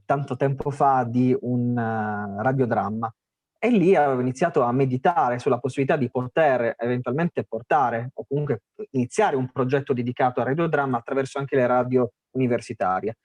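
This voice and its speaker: A man's voice: medium pitch, darkish tone, no room sound. A man with a Sardinian Italian accent presenting a radio festival, talking casually with many fillers and restarts. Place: remote online call.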